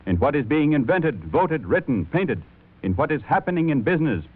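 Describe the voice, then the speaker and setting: Brief: 1930s male announcer, rhythmic building pitch, tinny radio. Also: very animated, moderate pace, husky.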